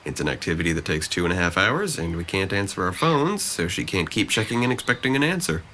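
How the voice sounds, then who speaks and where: Male actor as pedantic, scheming teenage boy: bright low voice, condescending precision, noisy recording. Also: big pitch swings, quick pace, heavily acted. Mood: dry, matter-of-fact. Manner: articulate, formal phrasing, condescending. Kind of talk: audio drama dialogue.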